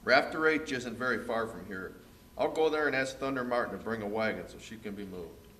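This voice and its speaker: Man acting a forceful, folksy frontier marshal. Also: lowish voice, fairly quick. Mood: puzzled, practical. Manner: folksy cowboy phrasing. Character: plain-spoken, practical frontier marshal, man. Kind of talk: old-time Western radio acting.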